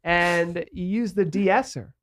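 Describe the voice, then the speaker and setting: A man's voice: high voice, takes it slowly, fairly bright tone. Rambling nasal man hosting a podcast. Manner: rambling, filler-heavy, acts out quotes. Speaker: host. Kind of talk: casual studio podcast chat.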